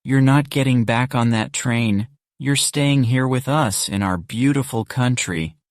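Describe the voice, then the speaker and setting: A man's voice: mid-range voice, a little slow, no echo, studio quality, pitched above the performer's own voice, close to an everyday voice. Man playing a smug, mocking thin policeman. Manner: gloating, sardonic.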